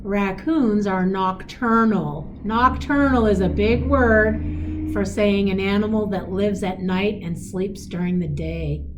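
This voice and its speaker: A woman reading a picture book aloud to children in a deliberate, expressive, teacherly way, adding simple explanatory asides. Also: medium pitch, over music, poor audio.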